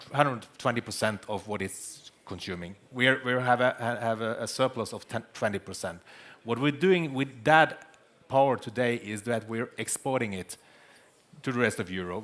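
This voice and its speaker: A man from Norway with a Norwegian accent, explaining haltingly on a conference panel, stammering at the starts of words. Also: mid-range voice, a bit bright. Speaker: panelist, data center chief executive. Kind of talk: panel discussion, unscripted explanation.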